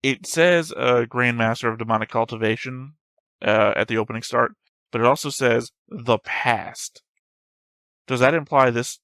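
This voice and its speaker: A man's voice: mid-range voice, a bit bright, no echo. A man hosting an anime reaction channel, talking casually and thinking aloud in a rambling way.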